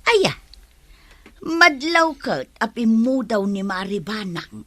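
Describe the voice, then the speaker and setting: A woman's voice: a little high, sing-song, slow, a bit bright, dry recording, heavily acted. An actress with an Ilocano accent playing a nosy village gossip in a radio comedy drama, exclaiming and drawing out her words. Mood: gossipy.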